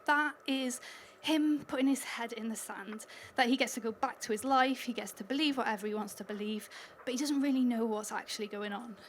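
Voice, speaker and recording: Welsh woman on stage at a conference, pitch a bit high, chatty, faint hum of the room. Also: a little fast, few pauses. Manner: upbeat, chatty, laughs between points. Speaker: conference speaker. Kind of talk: conference talk.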